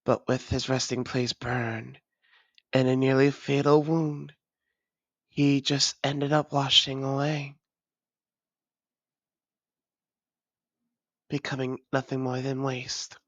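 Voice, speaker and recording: An actor playing a weary, guarded vampire and long-lost lover, speaking in halting, formal phrases in an intimate roleplay audio drama. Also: medium pitch, level tone, dry recording, dull audio, natural voice. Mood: somber, haunted. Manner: measured, halting, formal old-fashioned phrasing.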